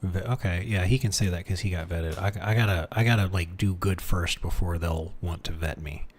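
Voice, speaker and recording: A man's voice: sits low, moderate pace. A man streaming from home, chatting casually and thinking aloud, with lots of restarts and fillers as he mutters his way through things. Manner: rambling, many restarts and fillers, muttering while reading.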